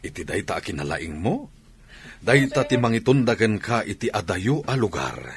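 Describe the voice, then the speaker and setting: A man's voice: fairly low pitch, very animated, normal speed. A man with an Ilocano accent playing a proud, encouraging sports official in a radio drama. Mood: reassuring. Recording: crowd noise behind the dialogue.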